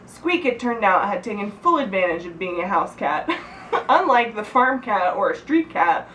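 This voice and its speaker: Animated, higher-pitched woman in an echoey room. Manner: giggly, often stumbles and breaks off to comment. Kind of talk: reading a humorous story aloud to friends. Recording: echoey room, distant mic, background noise.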